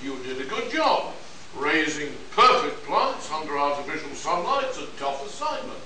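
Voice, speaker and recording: A man's voice: on the high side, sing-song, normal speed, sharp tone, full character voice. A man playing a combative, challenging sci-fi adventure hero in an amateur radio play. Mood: conciliatory. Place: live stage performance at a convention. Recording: distant echoey hall, slight noise.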